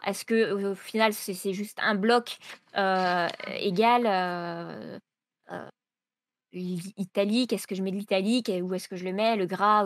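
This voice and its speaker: A woman's voice: medium pitch, no echo. Hesitant young woman writer. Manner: hesitant, many fillers and restarts. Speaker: writer being interviewed. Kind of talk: casual explanatory interview answers.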